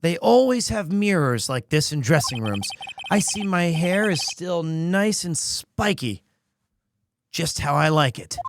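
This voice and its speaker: A man's voice: on the high side, lively intonation, measured pace, no room sound. A man voicing a dry-witted, easily exasperated young male defense lawyer, reading video game dialogue and inner monologue in a matter-of-fact way. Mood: observant.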